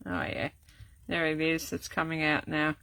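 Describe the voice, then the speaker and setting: Older woman, gravelly and croaky, rambling, full of ums, lowish voice. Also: big pitch swings, a little slow, a bit bright. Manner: rambling, many ums and restarts. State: voice going croaky. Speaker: card-making crafter. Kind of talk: casual live craft demo. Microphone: camera set up at desk.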